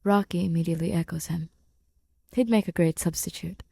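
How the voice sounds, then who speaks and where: A woman's voice: on the low side, steady tone, brisk, gravelly, dark tone, breathy edge, dry recording. A woman reading fan fiction aloud as a podfic narrator, in scripted storytelling style.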